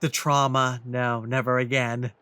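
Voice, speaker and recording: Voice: mid-range voice, flat delivery, unhurried, pushed and bright, clear, resonant tone, dry recording. A let's play host giving casual, musing commentary while reacting to a game.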